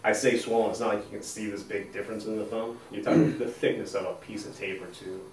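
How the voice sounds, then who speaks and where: A man in his thirties co-hosting a bowling show, leading segments in an upbeat, brisk way. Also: fairly low pitch, steady tone, a little mellow. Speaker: co-host of a bowling advice show. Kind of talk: casual show hosting and chat. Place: indoors, small studio against a plain backdrop. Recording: roomy echo, distant from mic, faint noise.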